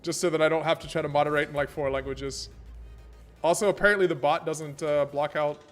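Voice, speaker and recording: An American man streaming a naval battle game, thinking aloud about tactics and chatting with viewers in a relaxed way. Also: a little high, fairly quick, soft background music. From American, born in Germany. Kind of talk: live gameplay commentary and chat. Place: Vienna home setup.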